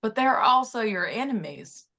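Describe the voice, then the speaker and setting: A woman playing a loud, fretful Southern mother in her forties at a screenplay table read. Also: fairly high pitch, lively intonation, normal speed, vocal fry, full character voice.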